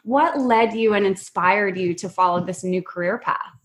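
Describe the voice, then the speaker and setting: A woman's voice: medium pitch, moderate pace, rough recording. A woman hosting a podcast interview, bubbly and playfully teasing her guest. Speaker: podcast host.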